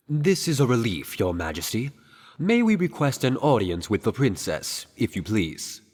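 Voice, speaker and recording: A man playing a pompous, smooth-tongued royal chamberlain in a fan-made radio drama, formal, measured and condescending. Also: mid-range voice, sing-song, darkish tone. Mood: formal, deferential. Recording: long hall reverb.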